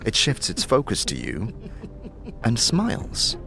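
A man narrating a fantasy audio drama in a measured, dramatic storytelling manner. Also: medium pitch, huge pitch swings, gravelly. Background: background music.

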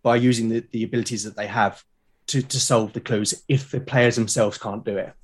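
A British man talking casually as an interview guest, explaining things haltingly and often repeating his words. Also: medium pitch, fairly even intonation, breathy edge. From Britain. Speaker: podcast guest, game master and podcast creator. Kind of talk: casual conversational interview talk.

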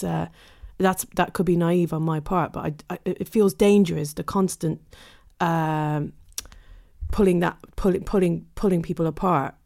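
A woman with a fairly low voice and plenty of vocal fry. She talks as a thoughtful interviewer, hesitant and feeling her way toward the right words. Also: mellow.

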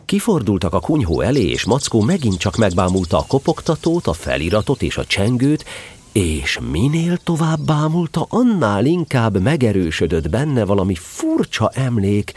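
A man's voice: medium pitch, breathy edge. A man narrating a scripted children's story as the storyteller of an audio drama.

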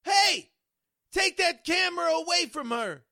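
An actress playing a hot-headed small-town woman in a 1940s radio drama, with strained shouting. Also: very high-pitched, animated, measured pace, heavy background noise. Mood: angry, riled.

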